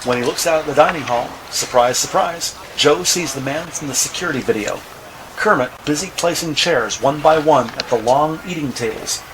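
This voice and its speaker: A man reading scripted audio drama narration in a measured, descriptive storytelling manner. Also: on the high side, background noise. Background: music.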